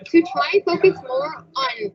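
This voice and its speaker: High teen girl, earnest student, loud crowd around, muffled audio. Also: very animated, moderate pace, creaky. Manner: earnest, explanatory.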